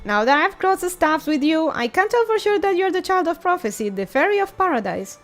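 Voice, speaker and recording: A woman's voice: very high voice, very animated, fairly quick, voice pushed up. A woman reading a game story aloud as a grown-up male sage who is wry, mean and teasing. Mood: formal, assured. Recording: music behind the voice.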